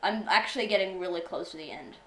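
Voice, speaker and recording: A woman's voice: fairly low pitch, fast, fairly bright tone, slight background noise. A teenage girl streaming a game, chatting casually and teasingly with many fillers. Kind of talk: casual gaming stream chat. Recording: echoey room, faint music.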